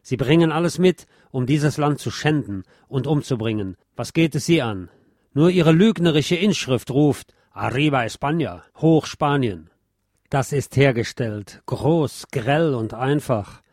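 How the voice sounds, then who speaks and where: A man with a deep voice reading a scripted essay aloud in a measured, formal, literary manner. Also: lively intonation, darkish tone, no echo, clean studio sound. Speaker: host reading essays on history.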